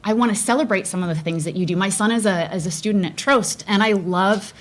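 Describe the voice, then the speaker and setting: A woman's voice: mid-range voice, quick pace. A middle-aged woman, a school board candidate, answering forum questions earnestly with frequent restarts.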